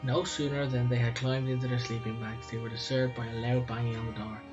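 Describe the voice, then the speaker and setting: A man's voice: medium pitch, flat delivery, brisk, highs cut off. A man with an Irish accent, co-hosting a podcast and reading a story aloud. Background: music.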